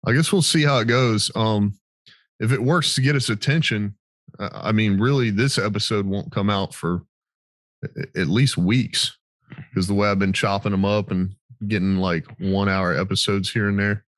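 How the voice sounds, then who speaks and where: Low-voiced American man, dry close sound. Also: moderate pace, no echo. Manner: informal, sweary. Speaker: podcast host, military veteran. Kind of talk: casual chat, storytelling.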